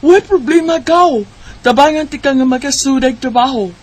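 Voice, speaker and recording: A woman acting as a cheerful young Bisaya cousin in a radio drama. Her voice is high and bubbly. The recording is clearly noisy. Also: normal speed, lightly acted. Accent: Filipino, Bisaya.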